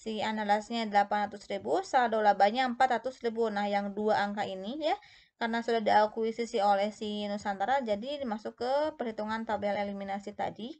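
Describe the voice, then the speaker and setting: A woman's voice: mid-range voice, steady tone, brisk, a little mellow. A woman giving an explanatory tutorial as an instructor, going step by step and posing questions that she then answers herself. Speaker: accounting instructor.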